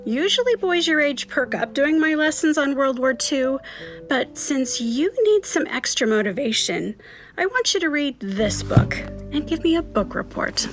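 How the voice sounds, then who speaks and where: High-voiced concerned schoolteacher. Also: female voice, expressive, soft background music, exaggerated voice. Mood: coaxing, firm. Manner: measured, coaxing, gently firm. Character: concerned, firm but kindly female schoolteacher. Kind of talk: family audio drama acting.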